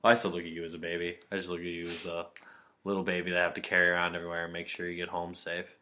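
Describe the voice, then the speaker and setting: A man's voice: low voice, monotone, racing, pushed and bright. A man in his twenties co-hosting a podcast in casual chat, teasing his friend, swearing casually and laughing as he talks. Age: 20s. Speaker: podcast co-host.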